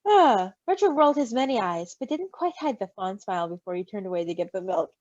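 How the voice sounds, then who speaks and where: A woman reading a story aloud in an animated style, with casual asides, on a slightly dull recording. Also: medium pitch, brisk, dry recording. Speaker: story reader.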